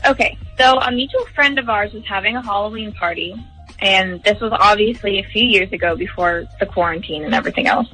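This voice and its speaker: A woman's voice: mid-range voice, fairly quick, sharp tone, faint music behind, poor audio. A young woman speaking as a phone guest on a radio show, telling things casually. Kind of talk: casual storytelling.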